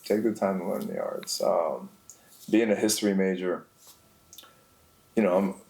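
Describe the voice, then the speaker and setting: A man's voice: low voice, lively intonation, measured pace, gravelly, darkish tone, low-quality recording. A man chatting casually as a podcast guest, laid-back and full of "you know what I mean" asides. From Greek family, raised bilingual. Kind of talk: casual chat.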